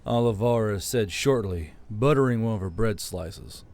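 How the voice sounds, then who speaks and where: A man's voice: on the low side, moderate pace, darkish tone. A man narrating a fiction audiobook from a script.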